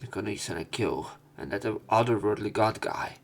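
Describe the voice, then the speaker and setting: A man reading visual novel text aloud as a let's play narrator, in a somewhat halting manner. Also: fairly low pitch, animated. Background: game music.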